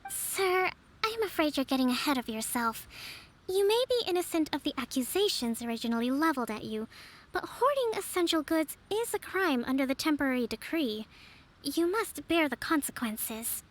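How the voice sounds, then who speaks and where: A calm young priestess leader. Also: female voice, high voice, normal speed. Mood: firm. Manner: composed, formal, gently authoritative. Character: young female divine priestess, calm and measured. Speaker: island leader. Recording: game audio with soft music behind.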